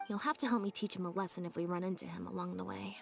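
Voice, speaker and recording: An actress voicing a reserved, composed young woman in a video game, with an understated, measured, soft-spoken delivery. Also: lowish voice, steady tone. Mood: pensive, composed. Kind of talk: scripted video game voice acting. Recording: game audio under clear music. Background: background music.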